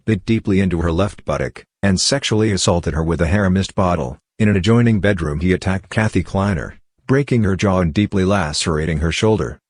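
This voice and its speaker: A man reading scripted true-crime documentary narration in a measured, formal manner. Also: low voice, no room sound, studio quality.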